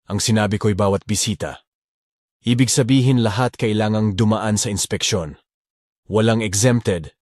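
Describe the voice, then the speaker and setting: Guy voicing a stiff formal bodyguard, real low husky voice, audio drama. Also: moderate pace, darkish tone, dry recording, studio quality, close to an everyday voice. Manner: cold and formal. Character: cool, formal young male bodyguard.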